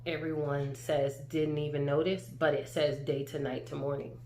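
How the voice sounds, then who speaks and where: A woman's voice: sits low, flat delivery, moderate pace, a little mellow. A woman casually explaining something from off camera. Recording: echoey room, faint hum.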